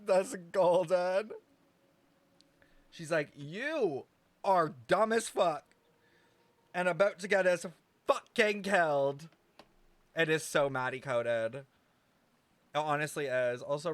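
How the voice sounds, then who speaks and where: A young man giving casual, gossipy, sweary reaction commentary as a game streamer, very expressive, on a close mic. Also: a little high, dry recording.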